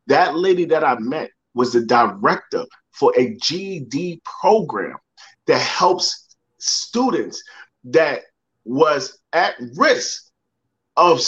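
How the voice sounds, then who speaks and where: A man's voice: a little high, a bit bright, dull audio. A young man, a motivational speaker, telling a personal story in a podcast interview. He repeats words and acts out the people he quotes.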